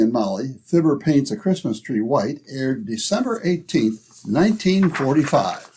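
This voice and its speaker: Husky male radio announcer over music. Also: a little high, darkish tone, low-quality recording. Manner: formal, declamatory.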